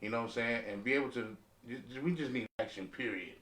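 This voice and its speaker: A man's voice: medium pitch, fast, a bit bright. An American man talking casually and emphatically in a round-table discussion, repeating phrases for stress. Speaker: podcast panelist. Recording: roomy echo, distant from mic.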